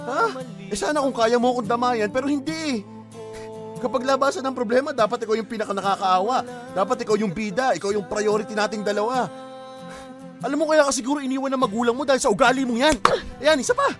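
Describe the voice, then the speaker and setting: A man's voice: extremely high pitch, fast, music in the background. A young actor playing a worn-out male student boyfriend in a radio drama, blunt and exasperated, at times near tears. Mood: bitter. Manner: blunt and exasperated, turning tearful.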